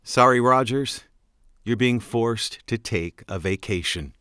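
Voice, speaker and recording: An actor playing a terse, dutiful park security boss with a curt, commanding manner in an audio drama. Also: male voice, medium pitch, takes it slowly, pushed and bright, smooth, no room sound, clean studio sound. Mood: dry concern.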